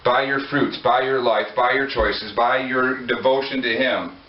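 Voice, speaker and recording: A man's voice: mid-range voice, steady tone, a little slow. A man preaching a sermon in a conversational way, joking and putting questions to his listeners. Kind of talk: sermon to a congregation. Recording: echoey room, far from mic, dull lo-fi.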